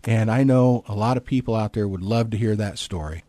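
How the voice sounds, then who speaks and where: A man's voice: fairly low pitch, brisk, warm tone, dry recording. A man interviewing a hospital priest, talking in a friendly, easygoing way with light fillers. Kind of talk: conversational interview.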